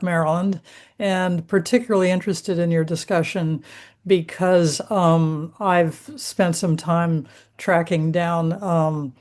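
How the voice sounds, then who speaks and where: Older American woman, slightly low voice. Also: darkish tone. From California, United States. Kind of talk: casual self-introduction.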